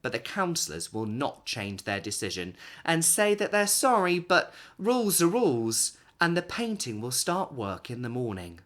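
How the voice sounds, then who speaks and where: A man's voice: mid-range voice, sing-song, moderate pace, few pauses, a bit bright. A man, reading a picture book aloud expressively for young children. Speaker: children's storyteller and host.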